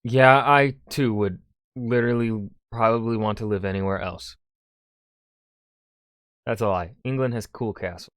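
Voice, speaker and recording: A man's voice: on the low side, a little slow, pauses often, a bit bright, clean tone, dry recording, studio quality. A man hosting a reaction video with casual, joking, sweary off-the-cuff commentary.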